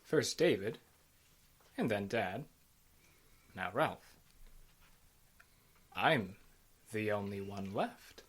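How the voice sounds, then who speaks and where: An actor playing a stunned, subdued surviving son in an old-time radio drama, with a husky voice. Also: male voice, fairly low pitch, animated, extremely slow, long pauses, exaggerated voice. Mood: stunned, grieving.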